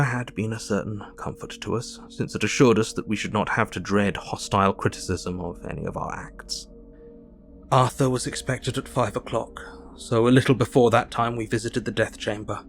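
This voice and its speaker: An actor playing a reflective Victorian doctor, narrating his diary in a measured, melancholy way. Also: on the low side, gravelly.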